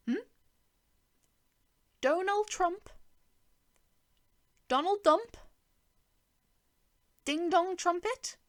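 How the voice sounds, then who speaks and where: A woman narrating a first-person short story and voicing its dialogue, with a wry, sardonic manner. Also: very high-pitched. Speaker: writer and narrator.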